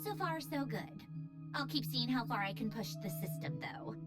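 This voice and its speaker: An actress playing a brisk, grumbling engineer in a fantasy game, with a blunt, dry manner. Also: female voice, fairly high pitch, animated, over loud music, natural voice. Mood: businesslike.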